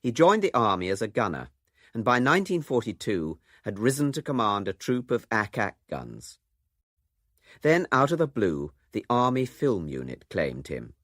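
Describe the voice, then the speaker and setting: A man's voice: fairly low pitch, sing-song, normal speed, fairly bright tone, no room sound, clean studio sound. A man presenting a documentary in scripted narration.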